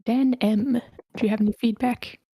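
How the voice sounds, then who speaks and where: Mellow, higher-pitched woman moderating. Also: fairly even intonation, normal speed, slightly rough, no room sound, studio quality. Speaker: host moderating feedback. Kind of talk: brief moderating prompts.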